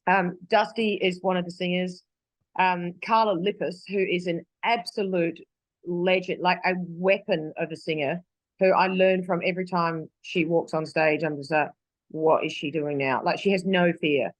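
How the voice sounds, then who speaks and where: A woman's voice: fairly low pitch, steady tone, normal speed, no echo, poor audio. An Australian woman singer chatting in an interview, rambling with lots of restarts and fillers. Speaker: singer guest. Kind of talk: casual interview chat. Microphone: video call.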